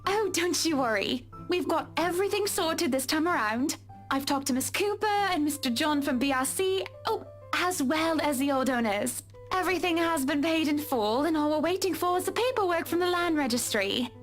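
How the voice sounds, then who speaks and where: A woman's voice: high voice, animated, normal speed. A woman voicing a poised, wealthy wife who talks business in a refined, assured way. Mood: businesslike. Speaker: wealthy client. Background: game music.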